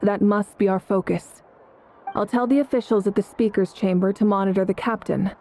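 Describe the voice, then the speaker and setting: An actress playing a calm, resolute female leader in a video game, composed and authoritative. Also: mid-range voice, moderate pace, a little hiss or hum, natural voice. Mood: firm, resolute. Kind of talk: English video game character acting.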